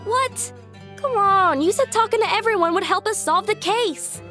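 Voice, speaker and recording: A woman voicing a brash, excitable young country gal with a folksy Southern US accent in a cartoon. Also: extremely high pitch, moderate pace, soft background music. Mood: eager.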